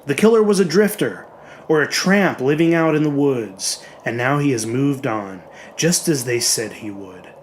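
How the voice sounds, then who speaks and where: A man reading a horror story as a dramatic audiobook narrator, in a measured, expressive way with deliberate pauses. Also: medium pitch, slight background noise. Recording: music bed under the voice. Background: background music.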